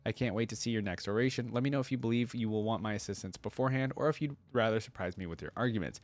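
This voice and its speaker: A man's voice: fairly low pitch, rapid-fire. A man hosting a fan reaction video, talking informally and reading story text aloud. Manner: informal, conversational.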